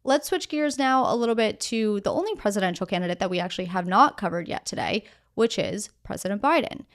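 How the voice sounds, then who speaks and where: Female news podcast host, smooth and clean tone, medium pitch, measured scripted delivery with conversational asides. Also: animated. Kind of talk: scripted news narration with casual explanatory asides.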